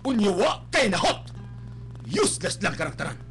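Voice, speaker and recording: A man's voice: high-pitched, very animated, moderate pace, fairly bright tone. A man acting a rich, snobbish, scolding father with a Cebuano accent in a melodramatic radio drama. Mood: furious. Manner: haughty, scolding, explosive. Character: rich, snobbish father scorning daughter's poor suitor. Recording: noisy live-stream radio capture with hum.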